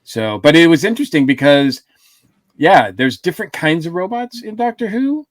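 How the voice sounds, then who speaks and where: A man's voice: on the high side, sing-song, moderate pace, no room sound. Rambling man on a dry close mic. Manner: rambling, with many fillers and restarts. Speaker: podcast co-host. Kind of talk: casual podcast review chat.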